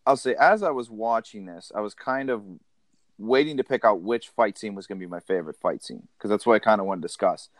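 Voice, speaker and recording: A man hosting a casual podcast, steering the conversation in a relaxed way. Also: lowish voice, brisk, no room sound. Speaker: podcast host.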